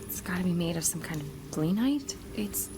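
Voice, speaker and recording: Actress as a curious, animated woman, noisy backdrop. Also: lowish voice, normal speed, lightly acted. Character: curious woman puzzling over a strange object. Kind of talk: naturalistic audio drama. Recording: clear background noise and ambience.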